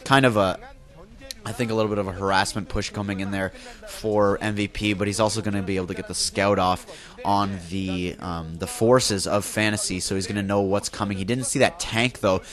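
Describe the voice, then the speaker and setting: This is a young man with a congested, nasal voice, a little on the low side, calling a video game match on his own. He talks loosely, stacking fillers and correcting himself mid-sentence as he tracks the play. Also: a bit bright. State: congested from a flu, nasal, coughing. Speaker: esports caster. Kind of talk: solo play-by-play game commentary.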